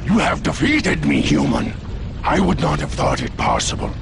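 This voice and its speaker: A male actor playing a brutal, proud, menacing monster warrior in a fighting game, with a growling, gravelly voice. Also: on the high side, huge pitch swings, normal speed, music in the background, heavily acted. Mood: grudging menace. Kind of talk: fighting game cutscene acting.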